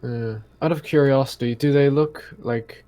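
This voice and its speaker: A man playing a tabletop game, chatting casually in an understated, deliberate manner. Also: mid-range voice, steady tone, dark tone. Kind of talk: casual chat.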